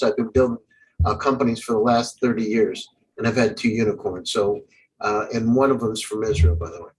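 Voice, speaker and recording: A man's voice: mid-range voice, fairly bright tone. A man judging a startup competition, giving rambling, friendly feedback. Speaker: judge. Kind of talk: casual feedback on a video call. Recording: distant mic with faint background noise.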